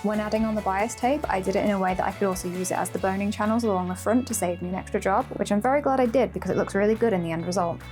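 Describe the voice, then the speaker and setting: Female craft vlogger, medium pitch, informal and self-mocking delivery, light music bed. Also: rapid-fire, hardly any pauses. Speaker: costume-making vlogger. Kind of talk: casual chatty craft vlog with voice-over narration.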